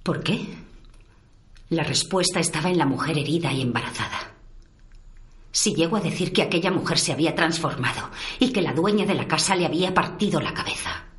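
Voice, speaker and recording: Hardened agent for radio drama, played by a woman: deep-set female voice, terse, no-nonsense. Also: lively intonation, a little fast. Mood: cool recounting. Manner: terse, matter-of-fact. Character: hardened female field agent, curt and instinctive.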